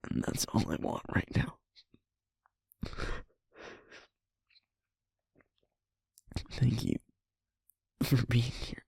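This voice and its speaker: Breathy, gravelly man acting a wounded werewolf boyfriend. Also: mid-range voice, no echo, clean studio sound, full character voice. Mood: pained, grateful. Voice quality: breathy, husky, often near whisper. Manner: halting, emotional, softly pleading. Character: sweet, wounded werewolf boyfriend, guilt-ridden and tender. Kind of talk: intimate audio roleplay drama.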